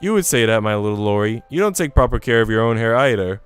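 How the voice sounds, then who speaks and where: A man's voice: on the low side, lively intonation, quick pace, clear, resonant tone, lightly acted. A man reading aloud a teasing, affectionate, proper lady from a visual novel, playful and genteel, over music. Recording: music playing behind the voice.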